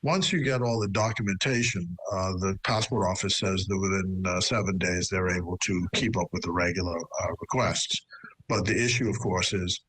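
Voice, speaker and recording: A man answering a reporter with a patient explanation and frequent fillers. His voice is very deep and husky. The recording is close and dry. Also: moderate pace, fairly bright tone, dry recording, rough recording. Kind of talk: news interview soundbite.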